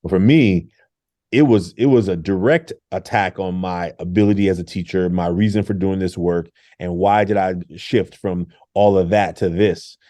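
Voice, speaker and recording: Lively, low-voiced man telling stories. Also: moderate pace, no echo, studio quality. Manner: lively, acts out quotes with laughs. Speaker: guest, former engineer turned teacher. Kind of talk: animated storytelling interview.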